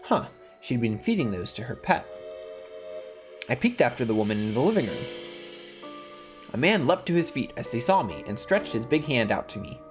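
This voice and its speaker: A man's voice: mid-range voice, very animated, moderate pace, long pauses. A man hosting a gaming video, reading a visual novel's narration aloud and dropping in casual asides. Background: faint music.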